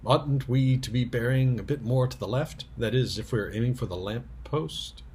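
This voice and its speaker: A man's voice: mid-range voice, moderate pace, darkish tone, a little hiss or hum, natural voice. A man voicing a sulky, resentful schoolboy with a spiteful streak, grumbling in a storybook reading. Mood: careless.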